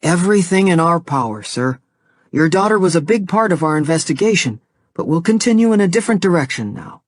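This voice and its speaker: A woman reader voicing a calm, formal male police officer with a measured way of speaking. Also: on the low side, no room sound, studio quality.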